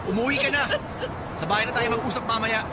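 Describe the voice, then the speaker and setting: A man playing an exasperated, defensive cheating boyfriend in an audio drama, loud and scolding. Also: very high-pitched, quick pace. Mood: angry, scolding. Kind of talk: Tagalog online audio drama acting. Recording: loud music and crowd noise behind.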